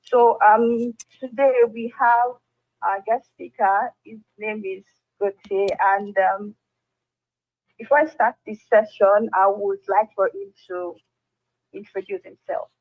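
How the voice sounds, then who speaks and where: A woman's voice: medium pitch, slow. Hesitant woman on a tinny line. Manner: hesitant, many fillers. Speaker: workshop host. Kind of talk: online workshop introduction. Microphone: video call. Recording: tinny phone-like call audio.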